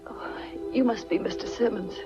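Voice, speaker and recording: An actress playing a guilt-ridden, pleading widow in a 1940s film noir style. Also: female voice, medium pitch, normal speed, whispered, music in the background, lightly acted. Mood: shaken, guarded. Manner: anguished, pleading. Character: guilt-ridden, anguished widow in a crime melodrama. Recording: muffled 1940s film soundtrack.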